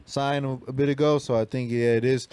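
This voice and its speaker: Young man, podcast host, laid-back, short agreeing replies, mid-pitch voice. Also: little pitch movement, a little fast, darkish tone, clean tone. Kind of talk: casual chat.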